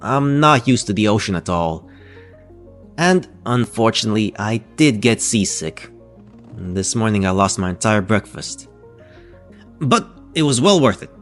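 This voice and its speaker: A man's voice: fairly low pitch, animated, a little slow, long pauses, soft background music. A man playing an eager, courteous physicist in a scripted audio drama, speaking formally and politely. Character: eager, courteous male physics scientist newly arrived.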